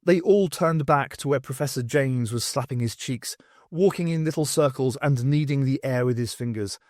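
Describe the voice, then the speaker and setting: A man's voice: medium pitch, normal speed, a little mellow, no room sound, clean studio sound. A man narrating a scripted story as an audiobook reader.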